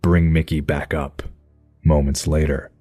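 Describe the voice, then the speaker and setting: An actor playing a brooding, stern vigilante detective, narrating noir style in a raspy, terse, measured voice. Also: male voice, rumbling low, flat delivery, dark tone, soft background music, exaggerated voice. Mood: grim, brooding. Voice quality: husky, raspy.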